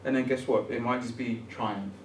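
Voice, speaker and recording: A man's voice: mid-range voice, steady tone, moderate pace, slight background noise. A man, born in England and partly raised in Ghana, speaking as a guest in a conversation, searching for words with many ums and restarts. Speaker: guest programmer. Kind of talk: conversational discussion. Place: room at a public talk event. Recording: roomy, distant mic, faint ambience.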